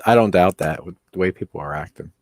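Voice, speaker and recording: Low-voiced man casually hosting a livestream. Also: fast, darkish tone, dry recording, clean studio sound. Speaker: live stream host.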